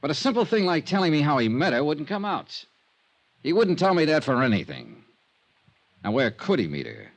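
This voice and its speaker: An American actor playing a hard-boiled, sardonic insurance investigator in 1950s radio drama, with clipped lines and dramatic pauses. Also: male voice, on the high side, wildly swooping pitch. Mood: accusing, sardonic. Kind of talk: 1950s radio detective drama acting and narration. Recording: vintage radio recording with music.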